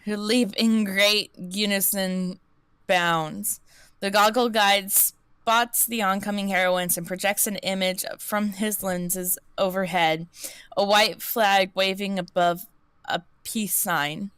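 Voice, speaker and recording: A woman's voice: medium pitch, steady tone, takes it slowly, fairly bright tone, clean tone, dry recording. A woman streamer reading an interactive story aloud, often restarting words she misreads. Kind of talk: live-stream story narration.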